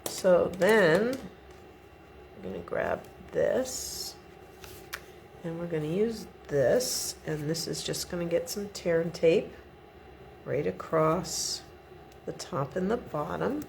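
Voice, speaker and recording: A middle-aged woman talks through a card-making project at her desk in an unhurried, low voice with a gravelly edge. She sounds a little sniffly from a cold, chatting casually as she works. Faint room hum sits behind her. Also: lively intonation. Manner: chatty step-by-step instructions. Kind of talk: relaxed craft tutorial. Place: home craft desk indoors. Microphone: overhead camera above the desk.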